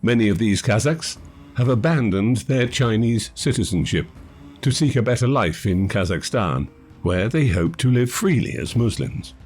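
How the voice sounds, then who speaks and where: A man reading scripted documentary narration in a measured, formal manner. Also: mid-range voice, lively intonation, a little mellow, breathy edge. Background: background music.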